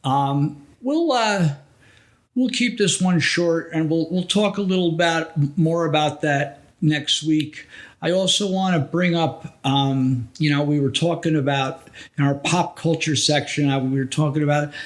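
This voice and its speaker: A mature man with a somewhat high voice co-hosts a podcast. His delivery is informal and conversational, like relaxed talk between colleagues. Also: a little slow. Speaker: podcast co-host.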